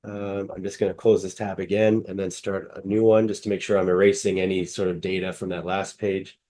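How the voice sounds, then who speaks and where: Male presenter, nasal from a cold, low warm voice, loose and halting delivery. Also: level tone. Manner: casual, hesitant, many fillers and restarts. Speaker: software demonstrator. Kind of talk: screen-share software walkthrough.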